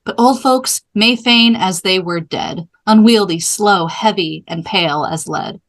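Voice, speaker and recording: This is a lovestruck young girl in a Shakespeare play, read aloud by a woman. She is impatient and entreating, speaking her verse in a measured way at a middle pitch. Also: fairly bright tone. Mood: impatient, yearning.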